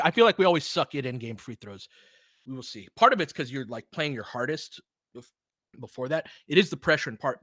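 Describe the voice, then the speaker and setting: A man doing live basketball play-by-play as an excitable, partisan fan, chatting casually with his viewers. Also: mid-range voice, no echo, studio quality. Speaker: fan play-by-play commentator, streamer.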